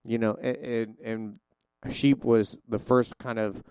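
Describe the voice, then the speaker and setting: A man's voice: medium pitch, little pitch movement, slow, a little mellow, smooth, dry recording. A man co-hosting a podcast in casual chat, talkative and theatrical, often restarting his sentences. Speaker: podcast co-host.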